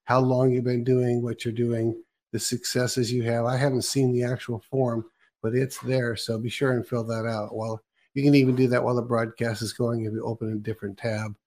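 A man's voice: mid-range voice, level tone, moderate pace, a little mellow. A man hosting a live broadcast, talking casually with many restarts and fillers. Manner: rambling, hesitant with many restarts. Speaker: show host.

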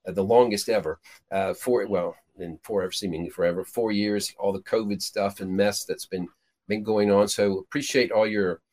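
Low-voiced man talking in rambling chat. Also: fairly even intonation, normal speed, darkish tone. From Peru. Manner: rambling, many restarts and fillers. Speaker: prophetic minister and show host. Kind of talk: casual chat.

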